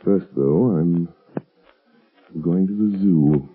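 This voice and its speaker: Suave mysterious crime fighter, super deep slow voice, old muffled 1930s radio. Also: male voice, breathy edge, full character voice. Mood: calm, resolute. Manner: measured, dramatic, portentous. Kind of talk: 1930s radio drama acting. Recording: muffled tinny 1930s radio broadcast recording.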